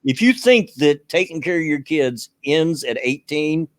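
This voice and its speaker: A middle-aged man with a Southern US accent hosting a casual livestream chat from his bedroom, loud and carrying, laughing often. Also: on the high side, animated, a little slow, dry recording. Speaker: host. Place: bedroom at home.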